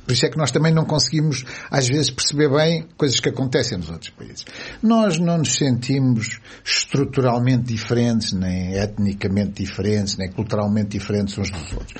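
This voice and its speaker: A man's voice: medium pitch, slightly rough. A man with a European Portuguese accent in casual political chat, explaining things reflectively with folksy sayings.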